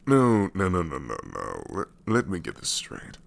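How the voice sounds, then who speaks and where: A man playing a sassy gravelly shadow demon. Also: sits low, wildly swooping pitch, normal speed, exaggerated voice. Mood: exasperated sarcasm. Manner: sarcastic, incredulous, grumbling. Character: sassy exasperated male shadow demon, ancient. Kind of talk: ASMR roleplay audio.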